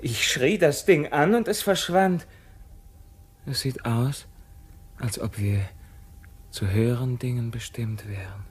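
A man's voice: medium pitch, slow, pauses often, breathy edge. An actor playing a proud, defiant, haunted king in a theatrical radio play, declaiming commands with sudden outbursts. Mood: grim musing.

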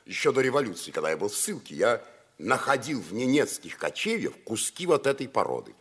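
A seasoned polar veteran, speaks with earnest authority and emphatic, theatrical phrasing. The voice is mid-pitched and husky, with widely moving intonation, in the manner of old radio drama. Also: male voice, sing-song, brisk, fairly bright tone. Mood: grave, explanatory. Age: middle-aged or older figure. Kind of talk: 1950s radio play acting.

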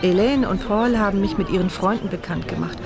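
An actress playing a paralysed, observant young woman who narrates her inner thoughts in a measured, articulate radio drama monologue. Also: mid-range voice, creaky, music in the background, natural voice. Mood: musing.

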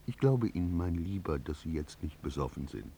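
An actor playing an arrogant, sneering, tyrannical theatre star in a radio drama, with a haughty, deliberate delivery. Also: male voice, sits low, lively intonation, mellow, a little hiss or hum. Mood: cold menace. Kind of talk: German radio drama acting.

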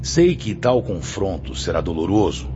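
Over prominent music in a dull recording, a man acts out a stern Brazilian spirit warden of a radio drama. His low voice preaches solemnly and rises and falls with measured, pious authority. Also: very animated. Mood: solemn, instructing. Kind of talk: radio drama acting in Portuguese. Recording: dull lo-fi audio with loud music.

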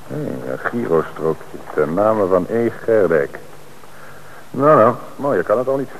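A shrewd police commissioner in an old radio play, his voice very low and his delivery measured. The recording sounds dull, with a faint hiss. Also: expressive. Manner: measured, authoritative. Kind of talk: radio drama acting.

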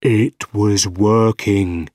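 Insidious machine mind , acted by a male narrator: very slow, deliberate, low-key storybook voice in the head. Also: steady tone, darkish tone, no room sound, clean studio sound. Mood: coaxing.

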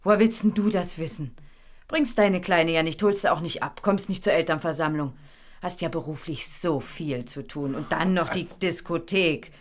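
Low-pitched, teasing young woman. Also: moderate pace. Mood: teasing, probing. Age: young adult. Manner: teasing, dry, probing. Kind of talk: German radio play dialogue.

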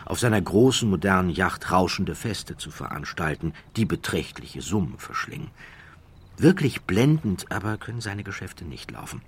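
Deep, husky male audiobook narrator. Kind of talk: scripted literary audiobook narration of a true-crime story.

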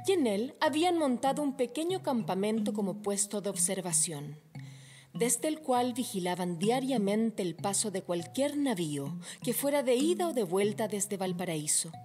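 A woman narrating a legend for a radio play in a theatrical, storytelling manner. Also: on the high side. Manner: expressive, theatrical.

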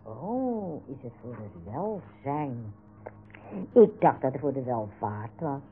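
A woman's voice: extremely low pitch, big pitch swings, slow pace, slight background noise, heavily acted. A woman playing a stubborn, grumbling village housekeeper in a radio play, huffy and flustered. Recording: narrow, phone-like radio recording.

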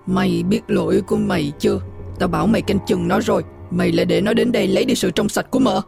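A woman voicing a cruel, flesh-eating demonic old matriarch in a horror audiobook, with a hoarse, gravelly, slow and threatening delivery. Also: lowish voice, huge pitch swings, darkish tone, soft background music, pitched below the performer's own voice, exaggerated voice. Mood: menacing.

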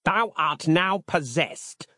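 A man's voice: a little high, lively intonation, slow, bright, forceful tone, no room sound, clean studio sound, pitched above the performer's own voice, heavily acted. A man voicing a stern, pious seventeenth-century ancestor spirit in a storybook, with an archaic, commanding, sermonizing manner. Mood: imperious.

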